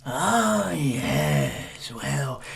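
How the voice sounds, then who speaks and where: A man's voice: medium pitch, huge pitch swings, very slow, creaky, heavily acted. A man playing a forgetful old village storyteller in a comic audio drama, ominous and rambling. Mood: muddled, rambling. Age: older.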